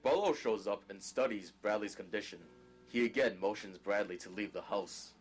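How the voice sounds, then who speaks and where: A man's voice: lowish voice, normal speed, slightly rough. A man narrating an audio drama, reading scripted stage action in the present tense.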